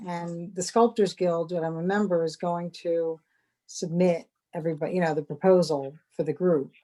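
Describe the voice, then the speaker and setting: A woman around fifty speaks on a video call, feeling her way through each sentence with halting restarts. Her voice is fairly low and mellow, warm rather than bright. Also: fairly even intonation. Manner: hesitant, halting, many restarts. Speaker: sculptor and course participant. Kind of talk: casual video-call chat.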